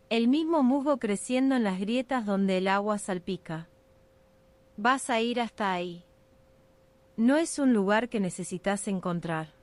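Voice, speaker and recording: A woman narrating a scripted ASMR sleep meditation in a soothing, unhurried, hypnotic way. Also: mid-range voice.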